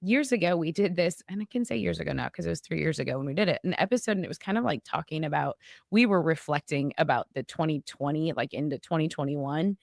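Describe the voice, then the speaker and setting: A woman in her forties co-hosting a casual podcast, chatty, with quick asides and frequent laughter. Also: sits low, creaky, no echo, studio quality. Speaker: podcast co-host. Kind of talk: casual chat.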